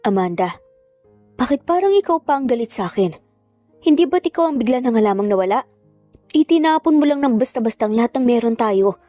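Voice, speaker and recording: A woman's voice: on the high side, lively intonation, fairly quick, pauses often, dark tone, no room sound, faint music behind, natural voice. A woman voicing an earnest, protective estranged father in a narrated family drama, urgent and intense. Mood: frustrated, hurt.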